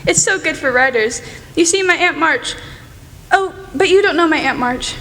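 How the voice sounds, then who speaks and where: A young woman playing an outspoken, excitable teenage girl in a radio play, gushing and rambling bluntly. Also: high voice, normal speed. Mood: chatty, eager. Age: teenager or young adult. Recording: echoey hall, faint noise.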